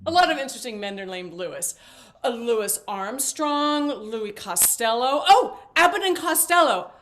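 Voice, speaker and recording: A high, swooping voice from a woman acting as a talkative, intrusive older mother in a stage play. She rambles in a chirpy, theatrical way and takes her time over every phrase. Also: animated, a little slow, fairly bright tone. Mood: rambling, eager.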